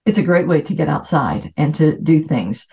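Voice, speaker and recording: A woman's voice: deep voice, animated, moderate pace, gravelly, warm tone. A middle-aged woman who grew up in Latin America, giving an informal, chatty self-help talk as a host. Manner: chatty, anecdotal. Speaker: podcast host. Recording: dull, lo-fi voice recording.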